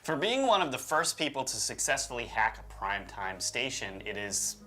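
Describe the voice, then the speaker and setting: Guy co-hosting a show, bright punchy voice, casual jokey banter, kinda slangy. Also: medium pitch, big pitch swings, normal speed. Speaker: co-host.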